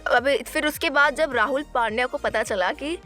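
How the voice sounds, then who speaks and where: A woman presenting entertainment gossip in an informal narration, halting and often repeating or correcting herself. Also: sits high, bright, forceful tone, faint music behind. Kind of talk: informal celebrity news narration.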